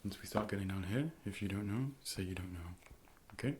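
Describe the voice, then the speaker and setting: A man in a soft, breathy ASMR role play as an optician, speaking calmly and often slipping into whispers, gently instructing and reassuring up close to the microphone. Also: deep voice, fairly quick, slight background noise.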